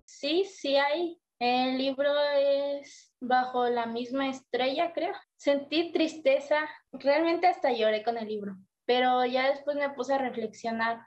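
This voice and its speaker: A woman's voice: high-pitched, slow, darkish tone, faint music behind. A young woman answering interview questions hesitantly, with many fillers. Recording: dull lo-fi sound.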